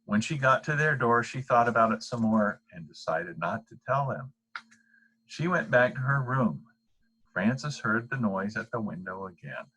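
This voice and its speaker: Older man, husky, reading a bedtime story. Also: medium pitch, lively intonation, moderate pace, sharp tone, low-quality recording. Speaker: storybook reader. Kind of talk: read-aloud children's bedtime story.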